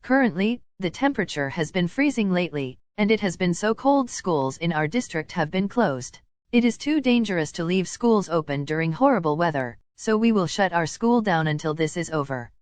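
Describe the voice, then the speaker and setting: Woman as school announcer, lively intonation, dry sound. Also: fairly low pitch, normal speed, dry recording, lightly acted. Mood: serious. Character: school official recording a grave closure announcement. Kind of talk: scripted announcement.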